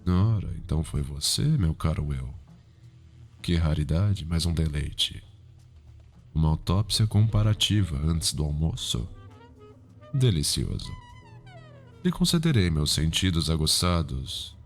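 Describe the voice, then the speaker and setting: A man's voice: a bass voice, big pitch swings, unhurried, pauses often, faint music behind, full character voice. A man playing a refined, sinister, erudite serial killer in a Brazilian Portuguese ASMR roleplay, speaking pompously and precisely, savoring his words. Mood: suave, amused.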